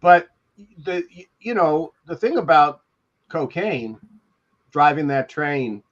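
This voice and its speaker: Older man, higher-pitched, dull lo-fi sound. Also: lively intonation. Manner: halting, rambling storyteller. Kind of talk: casual reminiscing. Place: indoor room on a video call. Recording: dull, lo-fi call audio.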